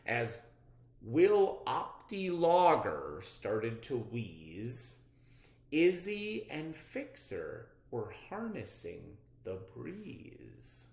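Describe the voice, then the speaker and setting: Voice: mid-range voice, huge pitch swings, extremely slow, gravelly. A storybook reader narrating a children's read-aloud expressively, with dramatic emphasis. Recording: roomy, echoey sound.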